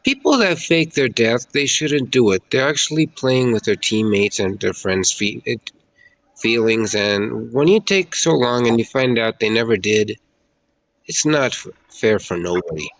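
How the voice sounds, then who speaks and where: Creaky-voiced man, halting delivery. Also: medium pitch, lively intonation, a bit bright, low-quality recording. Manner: halting, searching for words. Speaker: guest on a gaming show. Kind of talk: casual spoken shout-out.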